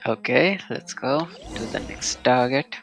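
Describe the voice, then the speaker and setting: A man's voice: medium pitch, animated, unhurried, gravelly. A man giving casual live commentary as he plays a video game. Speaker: game streamer. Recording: faint game music behind.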